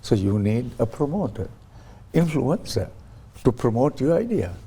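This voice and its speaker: A man's voice: medium pitch, sing-song, measured pace, mellow, husky. A man interviewed as a guest on a talk show, explaining his ideas through stories and acting out quoted voices, with frequent restarts. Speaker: guest, science ambassador.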